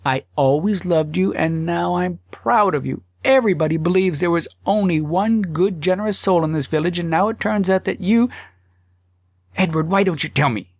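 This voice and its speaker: A man voicing a devoted, gently reproachful wife in an audiobook, speaking earnestly and with feeling. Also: high-pitched, dry recording, clean studio sound, pitched above the performer's own voice. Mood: proud, coaxing. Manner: earnest, emotional, deliberate. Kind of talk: audiobook character acting.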